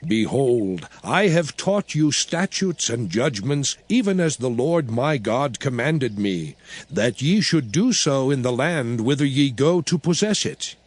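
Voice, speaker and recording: Slow, solemn commanding biblical prophet. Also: male voice, mid-range voice, sing-song, no room sound. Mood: solemn, exhorting. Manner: solemn, oratorical, measured, emphatic. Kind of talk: dramatized scripture reading. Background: music.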